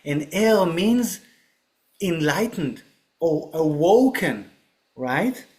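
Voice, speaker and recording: A man with a fairly high voice speaks unhurriedly, taking his time over each thought. He explains spiritual ideas in a reflective, teaching way and keeps checking that the listener is following with a quick "right?". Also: big pitch swings, darkish tone. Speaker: podcast guest. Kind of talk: spiritual discussion.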